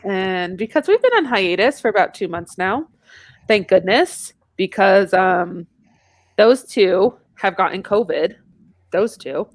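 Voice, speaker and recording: A woman's voice: medium pitch, expressive, measured pace, no room sound. A woman hosting a podcast in casual, chatty conversation. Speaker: podcast host. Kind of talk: casual chat.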